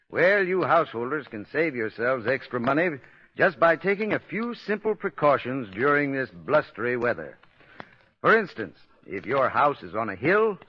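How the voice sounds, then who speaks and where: Male American spokesman: lively intonation, scripted vintage ad read, muffled radio sound. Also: a little high. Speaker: advertising spokesman. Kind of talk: 1940s radio advertising, scripted. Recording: dull 1940s radio recording.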